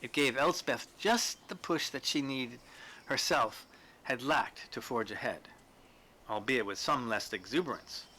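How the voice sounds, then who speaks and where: Bright-voiced man narrating a story. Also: medium pitch, expressive, a little slow. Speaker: story reader. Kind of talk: scripted literary narration.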